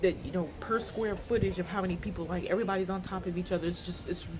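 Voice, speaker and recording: A woman's voice: fairly low pitch, steady tone, fast. An American woman giving casual travel advice to the camera in an informal, emphatic, advisory way. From United States. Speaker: travel vlogger. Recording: clear background ambient noise.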